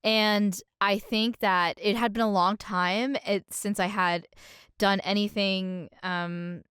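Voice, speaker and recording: A woman talking as a podcast guest in a casual, reflective way, halting and expressive with a self-deprecating streak. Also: mid-range voice, smooth, no room sound, clean studio sound.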